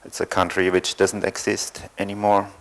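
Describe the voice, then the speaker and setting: A man from East Germany, speaking English, telling his story as a panel guest with many pauses and fillers. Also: low voice, monotone, vocal fry, a bit bright. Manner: hesitant, thoughtful, many fillers. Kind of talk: panel discussion, personal account.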